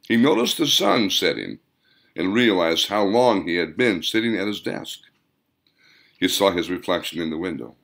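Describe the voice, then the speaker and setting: A man's voice: deep voice, gravelly, fairly bright tone. A man reading an audiobook story in a dramatic, descriptive storytelling manner. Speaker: author reading own audiobook. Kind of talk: scripted audiobook narration.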